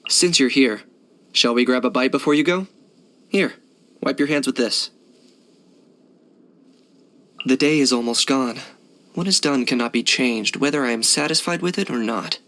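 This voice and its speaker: An actor playing a cool, pragmatic young hunter for hire in a video game, deadpan, matter-of-fact and laconic. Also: male voice, medium pitch, normal speed, lightly acted. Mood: calm. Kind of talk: video game character voice lines.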